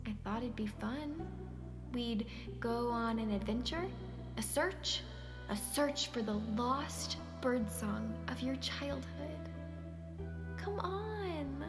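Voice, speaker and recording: A woman's voice: on the high side, lively intonation, slow. A woman acting a caring, persistent character who coaxes and probes in naturalistic stage-play dialogue, sometimes hesitantly. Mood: hopeful, coaxing. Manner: coaxing, probing, hesitant at times. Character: Sonia, caring, persistent woman nudging a withdrawn man. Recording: roomy sound with music behind.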